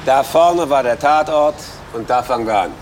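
Man playing a mid-pitched, dutiful police detective. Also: moderate pace, a little hiss or hum, lightly acted. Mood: matter-of-fact. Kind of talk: German audio drama acting. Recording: music behind the voice.

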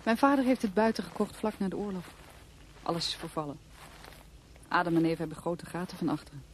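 A woman's voice: fairly low pitch, animated, quick pace, slight background noise, close to an everyday voice, rough recording. An actress playing a forthright, nonchalant woman from a country house in a radio drama, speaking in a direct, dryly offhand way. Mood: nonchalant, inviting.

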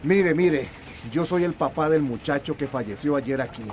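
A man as a high-voiced grieving father. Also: normal speed, slight background noise, lightly acted. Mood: indignant, demanding. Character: grieving, demanding rural father, polite but shaken. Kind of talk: radio drama acting. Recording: music bed behind the voice.

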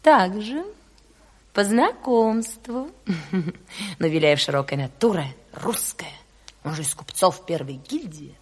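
A silly, affected bourgeois lady in an old radio play, gushing and gossiping with coy giggles. Her voice is breathy and swoops widely, ringing in a long studio reverb. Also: fairly low pitch, animated, slow, long pauses, exaggerated voice. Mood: gossipy, coy. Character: coquettish, naive, gushing bourgeois lady seeking Party membership. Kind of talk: theatrical Soviet radio drama.

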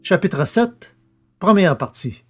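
A man's voice: sits high, big pitch swings, takes it slowly, music in the background. A man narrating a science fiction serial from a script, as a storyteller.

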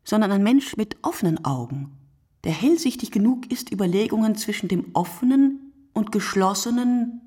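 A woman playing a reflective, poetic narrator in a literary radio play, speaking in a deliberate, declamatory way. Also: medium pitch, expressive, dark tone, slightly rough. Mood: quiet, musing. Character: reflective, poetic female narrator musing on sleep and night.

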